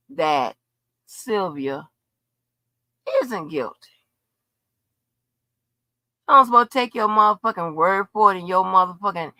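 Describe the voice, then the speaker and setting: A woman's voice: fairly low pitch, bright, forceful tone, no room sound. A woman in an unscripted livestream rant, blunt, profane and confrontational, repeating phrases for emphasis. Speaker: livestream host.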